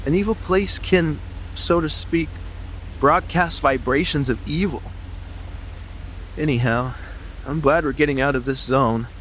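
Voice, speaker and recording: An actor playing an uneasy, superstitious yachting companion in an audiobook drama, earnest, hesitant and brooding. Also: male voice, a little high, unhurried, pauses often, a bit bright, slight background noise. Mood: pensive.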